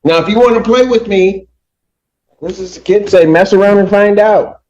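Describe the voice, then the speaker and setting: An American man speaking as a judge from the bench, stern and admonishing, with halting repetitions. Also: high voice, darkish tone. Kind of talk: speaking from the bench in a court hearing. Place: courtroom. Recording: slightly dull courtroom audio.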